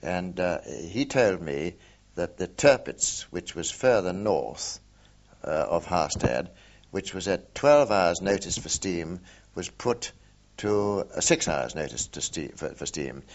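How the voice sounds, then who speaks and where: An older man, a war veteran, recalling events in an oral history interview, hesitant with many pauses and fillers. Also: deep voice, animated. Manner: hesitant, many ums and uhs, measured. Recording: dull lo-fi recording.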